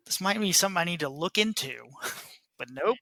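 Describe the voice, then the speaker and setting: Bright, higher-voiced man chatting on a podcast. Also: expressive, brisk, no echo. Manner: informal, peppered with "like". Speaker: podcast co-host. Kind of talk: casual chat.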